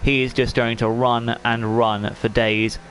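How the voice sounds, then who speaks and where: A man's voice: mid-range voice, level tone, unhurried, fairly bright tone, a little hiss or hum. A man commentating a sim racing league race in a chatty, rambling way, while he is losing his voice. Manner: chatty, rambling, frequent fillers and restarts.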